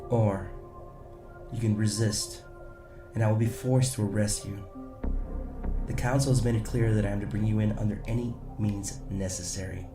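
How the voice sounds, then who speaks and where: A lowish male voice played by an actor gives a steady space-station lawman who talks in a measured, dryly pointed way. It is roomy and echoing, with music underneath, like a sci-fi radio play. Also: far from the mic, lightly acted. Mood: grimly determined. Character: steady, determined male security agent making an arrest. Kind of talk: scripted science fiction audio drama.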